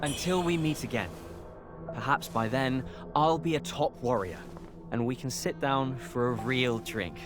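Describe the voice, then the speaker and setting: An actor playing an earnest, grateful young aspiring warrior in a video game, friendly and sincere. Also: male voice, medium pitch, unhurried, music in the background, lightly acted. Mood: resolved, warm. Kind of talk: video game cutscene acting.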